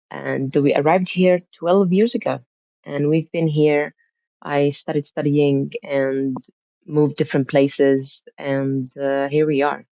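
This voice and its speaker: A woman's voice: rumbling low, dark tone, no echo. A woman from Syria, a podcast guest, recounting her family history in a reflective, conversational way with frequent restarts. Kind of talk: conversational interview. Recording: muffled lo-fi sound.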